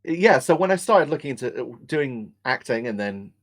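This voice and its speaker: A man's voice: medium pitch, big pitch swings, normal speed. A talkative man with an English accent, a voice actor, explaining things at length in a conversational interview. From born in England, grew up in England, Australia and America. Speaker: voice actor and screen actor, podcast guest. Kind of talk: conversational interview, telling personal history.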